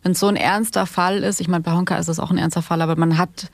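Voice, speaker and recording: Woman, podcast host, lowish voice, casual interview talk, dry close recording. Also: steady tone, fast talker, no echo.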